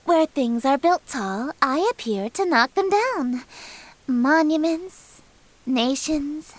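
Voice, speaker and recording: A woman's voice: sits high, expressive, slow, no room sound. A woman voicing a haughty, menacing eldritch queen in a visual novel game, with imperious, formal and theatrical delivery. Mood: grandiose, cold. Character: haughty, menacing eldritch queen who calls herself king.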